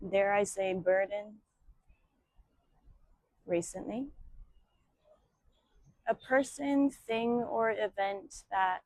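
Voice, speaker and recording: A woman yoga teacher leads a guided meditation at a very slow pace. She speaks soothingly in short phrases, leaving long pauses between them. The sound is dry and close, with little room on it. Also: mid-range voice, darkish tone, no room sound, low-quality recording. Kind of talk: guided meditation and breathing instruction.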